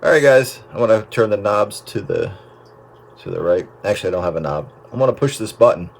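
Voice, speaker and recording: A man's voice: lowish voice, expressive, brisk, gravelly. A man signing off casually over two-way radio. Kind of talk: casual sign-off. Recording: radio signal with heavy static.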